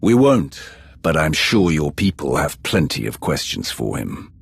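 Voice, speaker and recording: Grim game commander: extremely low, rasping voice, terse, controlled authority. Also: male voice, big pitch swings, measured pace, full character voice. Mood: stern. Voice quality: gravelly. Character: commanding, controlled dark warrior, grimly pragmatic. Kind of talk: video game voice acting.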